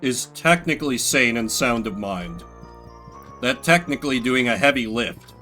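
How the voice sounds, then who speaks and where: Guy streaming a game, super animated n theatrical, bright voice, some music behind him. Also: mid-range voice, moderate pace. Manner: theatrical, playful, switches into voices for reading. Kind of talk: playthrough commentary, reading game text aloud.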